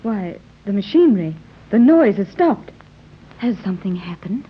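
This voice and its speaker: A woman's voice: medium pitch, sing-song, moderate pace, breathy edge. An actress playing a gracious, motherly adventuress in a 1930s radio serial, with theatrical, careful enunciation. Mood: curious. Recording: muffled, noisy old 1930s radio recording.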